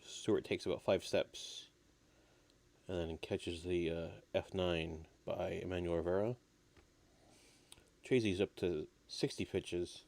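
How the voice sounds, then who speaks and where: A man with a very low voice and a gravelly creak hosts a casual live baseball stream. He sounds a little raspy, as if recovering from an illness. His play-by-play is low-key and unhurried. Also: steady tone, a little mellow. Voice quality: slightly raspy. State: getting over an illness, coughing.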